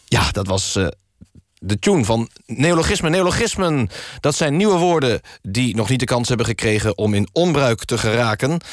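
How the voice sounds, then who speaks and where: A man playing a deadpan, hesitant presenter of a spoof radio news magazine, talking conversationally. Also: mid-range voice, dry recording, studio quality, natural voice.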